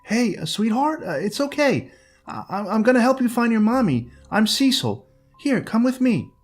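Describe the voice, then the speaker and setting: A man's voice: very high voice, sing-song, moderate pace, soft background music, pitched above the performer's own voice, heavily acted. A man voicing a nervous young night clerk who stammers and hesitates.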